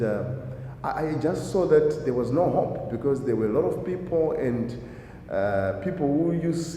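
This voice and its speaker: Zimbabwean guy, hesitant and full of uh's, dark voice, big echoey hall with long reverb. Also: medium pitch. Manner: hesitant, many fillers and restarts. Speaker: guest, driving school owner. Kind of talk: interview answers. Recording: echoey hall, faint noise.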